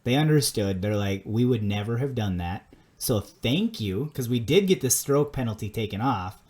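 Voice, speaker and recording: A man's voice: mid-range voice, normal speed. A man with a Minnesota accent co-hosting a podcast, chatting casually and telling stories with many restarts. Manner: relaxed, rambling storyteller with many restarts. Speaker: podcast co-host. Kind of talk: casual chat.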